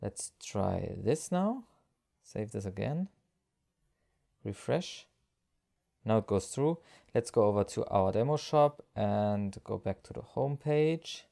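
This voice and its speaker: A man narrating a step-by-step software screencast tutorial as an instructor, explaining each action as he goes. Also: fairly low pitch, lively intonation, slow pace, gravelly, long pauses.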